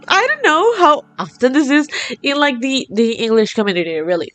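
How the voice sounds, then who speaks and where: A young woman from Latin America, a native Spanish speaker with an accent, chatting excitably to her viewers and laughing often. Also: normal speed, soft background music. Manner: bubbly and excitable, laughs often, lively swings in pitch. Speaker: vlogger. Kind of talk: casual chat to camera.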